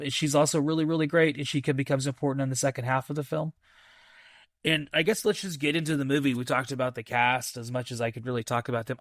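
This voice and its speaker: A man hosting a podcast, casually reviewing a film in a halting, thinking-aloud way with enthusiastic, repeated emphasis. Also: medium pitch, no room sound, studio quality. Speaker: movie review podcast host.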